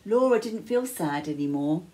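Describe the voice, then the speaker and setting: An older woman reading a children's bedtime story aloud with measured, dramatic phrasing and pauses. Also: fairly low pitch, lively intonation, warm tone. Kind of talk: expressive picture-book read-aloud. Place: small living room, indoors.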